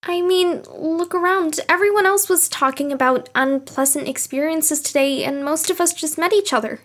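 A woman's voice: high voice, normal speed, fairly bright tone. A woman playing an earnest, nosy young woman, a little defensive, in an audio drama. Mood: probing, friendly.

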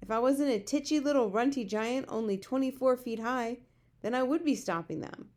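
Gentle male giant for a children's storybook, performed by a woman: resigned, muddled grammar, invented words. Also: fairly high pitch, normal speed, pitched above the performer's own voice, lightly acted. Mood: resigned, sad. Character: big friendly giant, male, gentle, gloomy, word-mangling. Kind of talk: children's storybook character reading.

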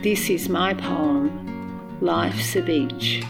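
A middle-aged Australian woman, a poet, reading and reflecting on her work in measured, deliberate phrases. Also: on the low side, vocal fry, loud music behind the voice.

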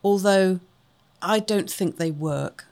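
A woman's voice: medium pitch, slow, darkish tone. A woman from Wales co-hosting a casual craft chat show, chatty and rambling, with a self-deprecating humour. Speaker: co-host.

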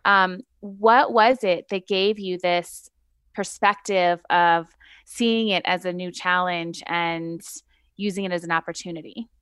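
Smooth-voiced woman podcast host. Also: on the low side, steady tone, unhurried, a bit bright, dry recording. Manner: enthusiastic, encouraging questions. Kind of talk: interviewing a guest.

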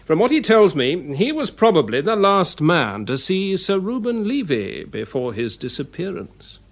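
English police detective, earnest and deliberating, highish lively voice, radio play. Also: male voice, a little slow, exaggerated voice. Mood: earnest, pondering. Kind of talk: radio drama acting.

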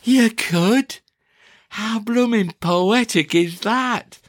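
A man's voice: high-pitched, very slow, no echo, clean studio sound, full character voice. A man playing an accused poet in a theatrical interrogation, agitated, defiant and faltering as he protests. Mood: wary, eager. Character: accused poet, panicky, defiant, whiny suspect. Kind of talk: theatrical performance-poetry interrogation dialogue.

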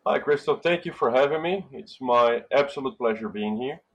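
A middle-aged man from Brazil, a hotel manager and podcast guest, telling relaxed stories with lots of fillers and tag questions. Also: mid-range voice, normal speed, breathy edge, dull audio. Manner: relaxed storytelling with frequent fillers and "right?". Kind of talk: casual interview conversation.

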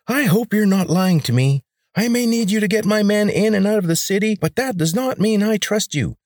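High grating tyrant, ruthless and commanding, audiobook villain. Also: male voice, animated, moderate pace, darkish tone, no room sound, clean studio sound. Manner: commanding, menacing. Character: high grating rebel leader, male, ruthless.

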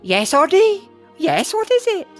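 A woman's voice: extremely high pitch, sing-song, a little slow, soft background music, exaggerated voice. A woman voicing a doting female ogre with a gushing, eager manner. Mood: tender.

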